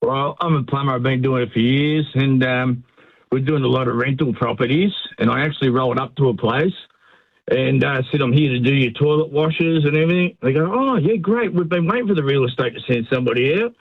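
A man's voice: mid-range voice, fairly quick, no echo. Male phone-in caller, plain matter-of-fact delivery, tinny phone line. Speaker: caller, plumber. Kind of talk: phone-in anecdote.

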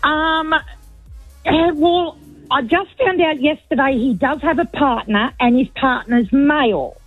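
A woman's voice: sits high, measured pace, no room sound, soft background music. A middle-aged woman, calling a radio show and talking candidly in a chatty way. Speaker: caller. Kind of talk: radio call-in chat. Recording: tinny phone line.

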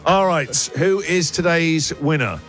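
A man's voice: fairly high pitch, unhurried, a bit bright, soft background music. A man hosting a radio show, trading puns and laughing at his own jokes. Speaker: radio host. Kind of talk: playful radio show banter.

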